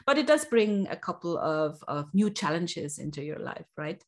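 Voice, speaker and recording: A woman's voice: fairly low pitch, big pitch swings, normal speed. A woman hosting an interview series, welcoming her guest and asking friendly, open questions. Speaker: podcast host.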